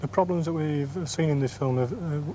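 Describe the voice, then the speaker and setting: A British engineer answers interview questions in a fairly high male voice. His delivery is measured, technical and matter-of-fact. The old film soundtrack carries a little noise. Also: mellow. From Yorkshire area, England. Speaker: depot engineer.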